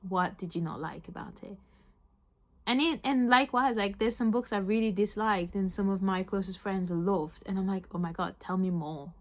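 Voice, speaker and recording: A young woman whose first language is French, with an accent that mixes French and Yorkshire, chatting excitedly in a book vlog and saying "like" often. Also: medium pitch, moderate pace. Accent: French mixed with Yorkshire. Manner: chatty, says "like" a lot, excitable. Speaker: book vlogger.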